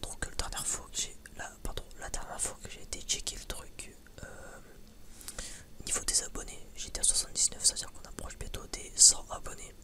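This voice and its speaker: A man whispering a casual, rambling ASMR chat, informal and sweary, hesitant and often stammering over repeated words. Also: fairly quick. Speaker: ASMR video creator.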